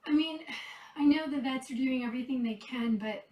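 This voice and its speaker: A woman who rescues cats, chatting casually and softly while tending kittens, her voice going a bit hoarse. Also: high voice, a little fast, echoey room, recorded from a distance, highs cut off. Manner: chatty, soft-spoken, talks to the cats between remarks. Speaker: cat rescuer. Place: kitten room indoors.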